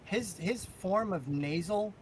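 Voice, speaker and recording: A man chatting casually as a podcast co-host. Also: slow. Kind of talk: casual chat. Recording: noisy mic with low rumble.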